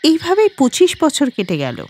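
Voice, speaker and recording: A woman's voice: high-pitched, huge pitch swings, moderate pace, darkish tone. A woman reading scripted narration for a cartoon family story as its storyteller.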